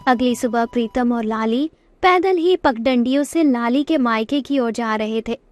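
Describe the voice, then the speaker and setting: A woman's voice: fairly high pitch, measured pace, no room sound, dull audio, soft background music. A woman narrator reading a scripted Hindi moral story.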